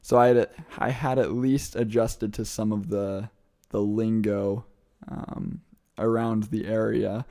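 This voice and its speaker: A young man from the American Midwest talks eagerly about his travels, chatty and quick to laugh. His voice is low and mellow, without any bright edge. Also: level tone, gravelly. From Midwest United States. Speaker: guest, returned missionary. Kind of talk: casual interview answers.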